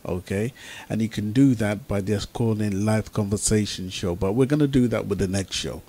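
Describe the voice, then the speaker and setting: A man's voice: lowish voice, moderate pace, warm tone, husky. A man presenting an informal radio talk show as its host, speaking to listeners.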